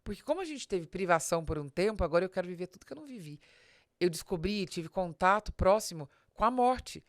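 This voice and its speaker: A Brazilian woman with a fairly low voice presents a business podcast. She explains in a didactic, direct way, stressing key words and putting questions to the listener. The sound is dry, with very little room in it. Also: lively intonation, a little fast, no echo. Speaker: podcast host and business advisor.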